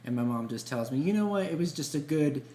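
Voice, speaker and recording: A man's voice: on the high side, expressive, fast talker, mellow. A man co-hosting a podcast, telling stories in a casual, easygoing, colloquial way. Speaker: podcast co-host. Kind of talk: casual conversational storytelling. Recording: echoey room with hum and noise.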